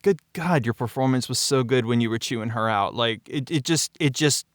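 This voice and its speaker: Mid-pitched man in a dry space. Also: brisk, no echo. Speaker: show creator and audio editor. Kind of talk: casual behind-the-scenes talk.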